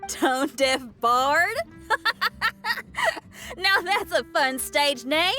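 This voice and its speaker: A woman's voice: high voice, lively intonation, extremely slow, bright, forceful tone, faint music behind, full character voice. A woman voicing an upbeat, friendly young female musician in a video game, with an enthusiastic, folksy, informal manner. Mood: amused. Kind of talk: video game cutscene acting.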